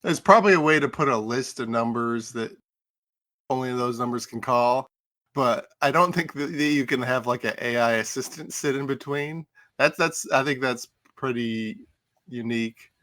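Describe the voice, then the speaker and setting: A man's voice: medium pitch, normal speed, bright, forceful tone. A man chatting informally as a podcast regular, thinking aloud and telling anecdotes. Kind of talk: casual chat, anecdotes.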